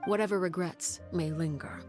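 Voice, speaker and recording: An actress playing a composed, commanding leader of an orphan house, speaking in a formal, measured, solemn manner in a game. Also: female voice, lowish voice, steady tone, natural voice. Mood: wistful. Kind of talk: dramatic game dialogue. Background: music.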